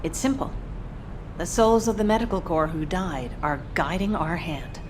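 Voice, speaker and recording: A woman's voice: lowish voice, expressive, moderate pace, close to an everyday voice. A woman voicing a driven, solemn fighter and mother in a video game, intense and earnest. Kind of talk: dramatic video game voice acting. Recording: clear background noise.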